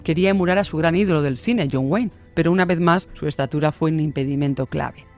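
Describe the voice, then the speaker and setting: A male narrator with a slightly high voice and a Castilian Spanish accent tells a true-crime story in scripted radio style. Faint music plays underneath. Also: quick pace. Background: music bed.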